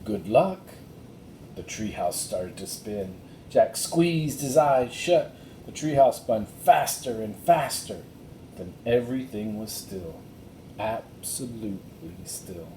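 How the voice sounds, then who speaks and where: Slow, mellow man reading aloud. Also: expressive. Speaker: audiobook reader. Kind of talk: children's storybook narration. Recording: roomy echo, faint rumbling noise.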